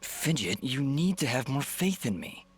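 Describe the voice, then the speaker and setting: A man's voice: mid-range voice, big pitch swings, measured pace, slightly rough, no room sound, full character voice. An actor playing a troubled young swordsman hero in a video game, solemn and intense. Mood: gentle, unsure. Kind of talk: dramatic video game acting.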